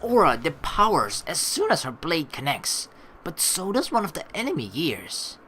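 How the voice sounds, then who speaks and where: A woman playing through a game, reading the story aloud and reacting excitably with casual swearing. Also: low-pitched, normal speed, slight background noise. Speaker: let's play streamer reading a visual novel aloud.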